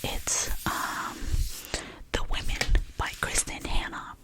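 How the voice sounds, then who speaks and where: A woman, whispering softly through a chatty, rambling ASMR talk about her favourite things. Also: takes it slowly. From Albania. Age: 20s. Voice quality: soft unvoiced whisper. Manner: rambling and chatty, with many fillers. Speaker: ASMR creator.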